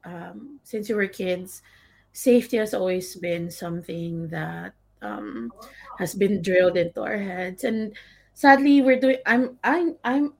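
Woman, medium pitch, casual conversation, tentative, repeated phrases, panel guest. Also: a little slow, gravelly.

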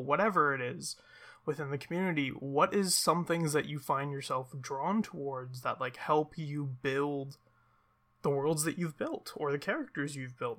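Bright-voiced man hosting a panel. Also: fairly high pitch. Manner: asks long, rambling questions. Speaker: host. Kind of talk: moderating a panel.

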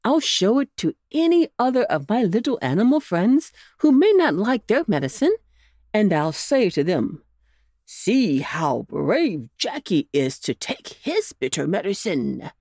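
A woman voicing a kindly old gentleman rabbit in a storybook, speaking in a jolly, coaxing way. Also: medium pitch, very animated, measured pace, no echo, clean studio sound.

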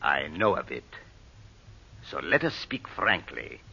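An actor playing a shrewd, suspicious strongman in an old radio drama, formal and deliberate. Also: very deep voice, sing-song, gravelly, pushed and bright, slightly rough, exaggerated voice. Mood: stern, frank. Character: powerful, suspicious foreign dictator, shrewd official. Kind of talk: 1930s-40s radio drama acting. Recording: dull old radio recording.

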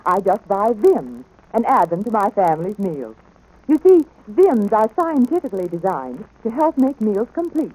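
An actress playing a sensible, untroubled wartime homemaker giving an assured testimonial in a 1940s radio commercial. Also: female voice, mid-range voice, big pitch swings, normal speed, a little mellow, breathy edge, low-quality recording. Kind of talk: scripted 1940s radio advertising.

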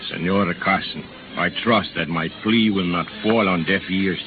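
A man's voice: deep voice, normal speed, phone-quality sound, loud music behind the voice, close to an everyday voice. An actor playing a devout, resolute, fatherly bishop with solemn oratory in a hissy 1930s radio drama.

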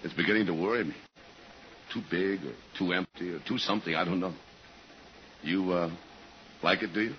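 An actor playing a guarded, awkward undercover man posing as a friendly traveler in a 1950s radio drama, with halting, understated delivery. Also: sits low, natural voice. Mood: casual, probing. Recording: muffled, hissy 1950s radio recording.

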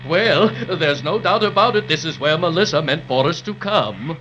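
남자 배우의 호들갑스러운 조각보 동물, 억양이 출렁이고 먹먹한 옛 라디오 음질. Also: male voice, on the high side, animated, moderate pace, close to an everyday voice. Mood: fretful. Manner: dithering, pompous wordy phrasing. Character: fussy, good-natured, mock-grumbling patchwork quilt creature. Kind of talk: 1930s children's radio serial acting. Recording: muffled, noisy 1930s radio recording.

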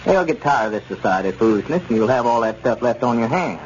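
An actor playing an easygoing, sensible small-town store owner in a 1930s radio comedy. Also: male voice, medium pitch, lively intonation, quick pace, close to an everyday voice. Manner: chuckling, level-headed. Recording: dull, noisy 1930s radio recording.

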